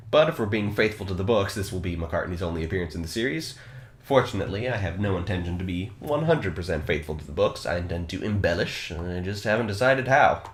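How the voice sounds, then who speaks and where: A man's voice: low voice, echoey room. A man hosting a podcast, retelling a story and chatting casually, earnest and a little self-conscious. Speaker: podcast host. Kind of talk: casual podcast narration and chat. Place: room in a home.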